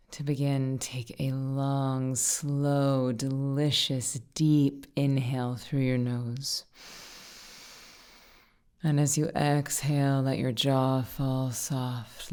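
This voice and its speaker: A woman with a very deep, dark and relaxed voice leads a guided meditation. Her intonation stays flat and steady. She gives gentle instructions in short, unhurried phrases, leaving pauses between them.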